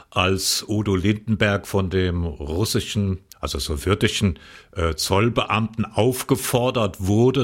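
An older man from the Palatinate co-hosting a radio talk, telling stories in a relaxed way with fillers. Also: fairly low pitch. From Palatinate, West Germany. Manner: reminiscing, relaxed storytelling with fillers. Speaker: co-host.

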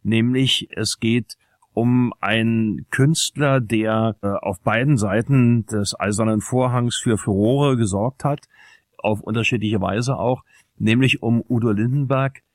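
Man from East Berlin hosting radio, very slow and measured, dry close sound. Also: mid-range voice, no echo. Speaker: radio host. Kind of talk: radio talk introduction.

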